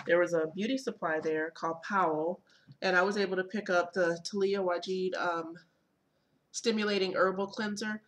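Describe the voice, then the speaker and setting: A woman in her thirties recapping an event in a relaxed, chatty vlog, with many ums and uhs, filmed at home on a webcam. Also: lowish voice. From Michigan. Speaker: vlogger. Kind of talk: casual product haul and event recap. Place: small home room, indoors. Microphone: webcam at desk.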